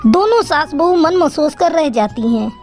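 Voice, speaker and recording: Female storyteller for kids' cartoon: high register, scripted narration over light music. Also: animated, moderate pace, darkish tone.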